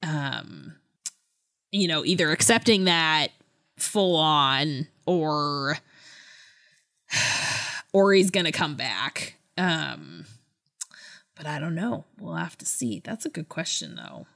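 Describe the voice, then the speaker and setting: A woman co-hosting a podcast, chatting casually about books in a thoughtful, hesitant way with trailing pauses. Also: deep voice, big pitch swings, creaky, pushed and bright. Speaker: podcast co-host. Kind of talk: casual book discussion chat.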